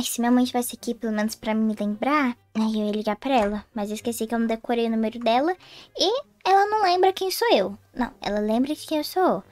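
A woman's voice: on the high side, lightly acted. A woman voicing a naive girl who has suddenly become a young adult woman, chatty and thinking aloud with whiny sighs in a roleplay game story. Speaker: roleplay player voicing Diana.

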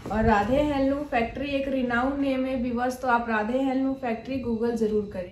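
A woman's voice: high voice, darkish tone, reverberant, slight background noise, rough recording. A woman presenting suits for sale in a shop, talking steadily to viewers in promotional patter. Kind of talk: sales pitch showing suits.

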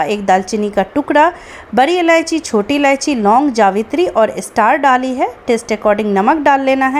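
A woman's voice: high-pitched, slight background noise. A woman narrating a recipe step by step, explaining each stage to viewers as a cooking host. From India. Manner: explanatory, addresses viewers as friends.

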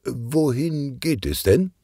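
A man acts out a jovial, patronising policeman from a children's detective audiobook. He is husky and emphatic, swinging up well above a plain storytelling pitch. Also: animated, slow pace, dark tone, no room sound, studio quality, exaggerated voice. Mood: teasing. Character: boisterous, jovial, patronising male police colleague.

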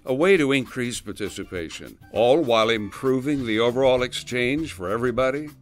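A man's voice: mid-range voice, lively intonation, brisk, faint music behind. A man narrating a scripted awareness video in an upbeat, lightly joking voice-over.

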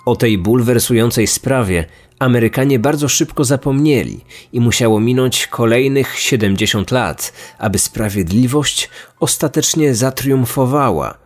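A man's voice: medium pitch, normal speed, hardly any pauses. A man hosting a podcast, reading a scripted true-crime narration. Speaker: podcast host. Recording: music under the voice. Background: background music.

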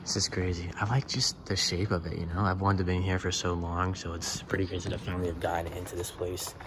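A man's voice: low-pitched, level tone, quick pace, background noise. A young man exploring an abandoned church, talking casually to his camera with lots of fillers. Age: young adult. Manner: laid-back, rambling, lots of fillers like "um" and "you know". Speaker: urban explorer vlogger. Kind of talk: casual vlog walkthrough. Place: large abandoned church, indoors. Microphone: handheld camera, on the move.

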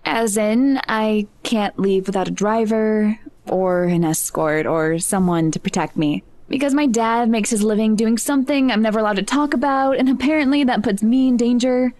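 A woman's voice: a little high, moderate pace, hardly any pauses, darkish tone, lightly acted. A woman playing an anxious, lonely seventeen-year-old girl in a naturalistic audio drama, speaking hesitantly and self-deprecatingly. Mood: guarded, frustrated.

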